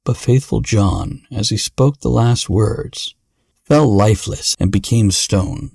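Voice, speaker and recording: A man's voice: on the low side, slow pace, vocal fry, darkish tone, slightly rough, dry recording. Man, fairy tale narration, podcast storyteller, dry recording.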